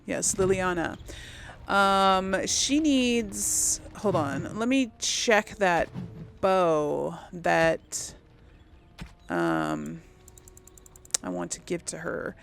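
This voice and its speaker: A woman's voice: on the low side, big pitch swings, drawn-out words, gravelly, long pauses, a little hiss or hum. A woman giving casual video game commentary, conversational and musing as she thinks aloud and talks herself through choices. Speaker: let's play host.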